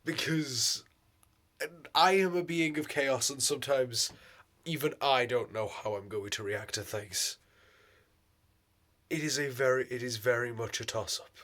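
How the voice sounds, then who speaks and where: A man with a sore, hoarse throat, rambling casually in a vlog, swearing and laughing often. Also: medium pitch, measured pace, long pauses, fairly bright tone. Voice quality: hoarse, voice cracking. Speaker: vlogger.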